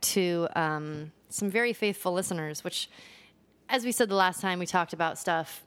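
A woman's voice: lowish voice, normal speed, smooth. A young woman co-hosting a casual podcast, chatting and giggling with a friend. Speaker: podcast co-host. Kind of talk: casual chat.

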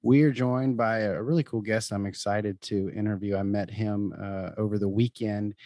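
A man's voice: on the low side, fairly even intonation, normal speed, dark tone, no room sound, studio quality. Upbeat male podcast host. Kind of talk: podcast interview.

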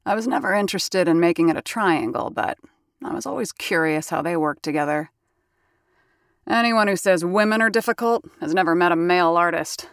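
An actress playing a worldly, unimpressed theatre assistant who confides in the listener with knowing sarcasm in an audio drama. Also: female voice, lowish voice, fairly quick, no echo, clean studio sound, natural voice. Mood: wry, confiding. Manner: sardonic, knowing.

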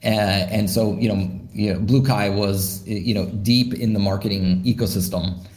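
A man's voice: on the low side, steady tone, moderate pace, a little mellow. A man, a startup founder, answering interview questions in a thoughtful way, with many fillers and restarts. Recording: roomy echo.

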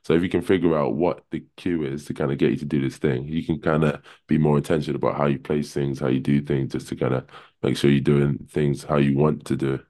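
A man with a deep voice, a podcast guest talking in a relaxed, rambling way full of fillers. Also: fast. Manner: relaxed, rambling, many "kinda" and "you know". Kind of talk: casual podcast chat.

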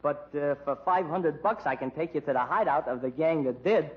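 A man's voice: fairly high pitch, moderate pace, close to an everyday voice. A man acting a shady, wisecracking small-time crook and informant in a radio crime comedy, with slangy, streetwise talk. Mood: pleading, sly. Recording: dull lo-fi recording.

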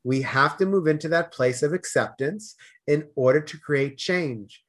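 A middle-aged man from Boston with a Boston accent, a guest talking earnestly in a casual interview and growing loud when animated. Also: on the high side, unhurried.

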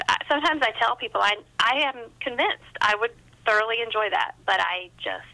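A woman's voice: on the high side, animated, brisk, creaky, pushed and bright, low-quality recording. A woman speaking casually as a guest author in an interview, chatty and self-deprecating.

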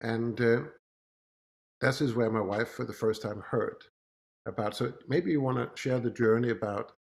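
A man from Denmark, a father answering interview questions in a measured, hesitant way with frequent pauses. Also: mid-range voice, vocal fry. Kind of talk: conversational interview.

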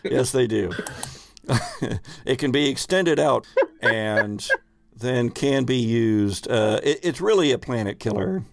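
Creaky-voiced man running a game. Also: on the low side, no echo. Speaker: host and game master. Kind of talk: casual game narration.

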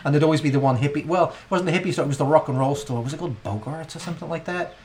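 A middle-aged man talks as a podcast guest in a home room, relaxed and wry. He drifts into loose stories with the odd swear word over a faint hiss. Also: fairly high pitch, very fast. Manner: laid-back, profane, wry. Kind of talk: casual chat.

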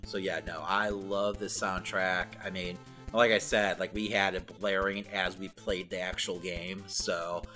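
Bright, low-pitched man in casual chat. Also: little pitch movement, unhurried, hardly any pauses, faint music behind. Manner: informal, nostalgic fan talk. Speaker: podcast co-host.

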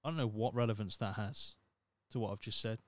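A man's voice: fairly low pitch, fairly quick, no room sound. A thirty-year-old man, rambling on his solo podcast in a wry, self-mocking way with plenty of swearing. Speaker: podcast host. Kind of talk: casual solo podcast monologue.

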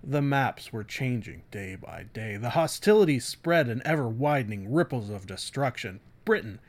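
A man voicing a grave, melodramatic narrator in a dramatic reading of epic book jacket copy, with halting, heavy emphasis. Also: mid-range voice, animated. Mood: ominous, grandiose. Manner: theatrical, halting, heavily emphatic.

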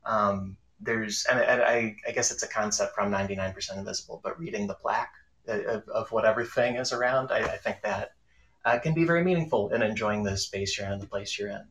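A man with a fairly low, bright and forward voice talks as a panel guest on podcasting. He is enthusiastic and conversational, often halting and repeating small words as he goes. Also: poor audio. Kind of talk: casual panel discussion.

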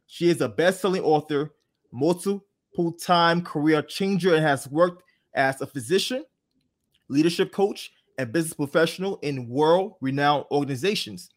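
A man hosting a podcast, introducing a guest and asking questions in an upbeat, enthusiastic way. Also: on the high side. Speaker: podcast host.

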